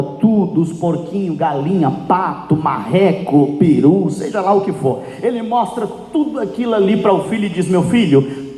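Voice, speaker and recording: A Brazilian man preaches in a high voice with an emphatic, exhortative delivery. He repeats phrases for effect as a pastor does in a sermon. His voice echoes with long reverb through a hall. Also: dark tone.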